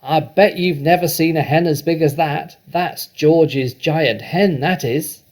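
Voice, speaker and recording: A man voicing a boastful, cackling old grandmother who shouts and crows in a storybook reading. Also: a little high, measured pace, pitched above the performer's own voice. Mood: boastful shouting. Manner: shouting, crowing, taunting.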